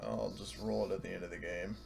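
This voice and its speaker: A man's voice: sits low, steady tone, quick pace, creaky, a little mellow, faint music behind. A man chatting casually as a player in a tabletop game. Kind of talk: casual chat. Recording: noticeable background noise, typing sounds.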